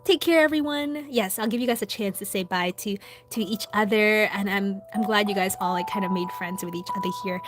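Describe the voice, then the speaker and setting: A young woman with a Filipino accent chatting casually with her livestream viewers while drawing, soft-spoken with lots of ums. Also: mid-range voice, fairly quick. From Philippines. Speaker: livestream host. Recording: background music. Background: music.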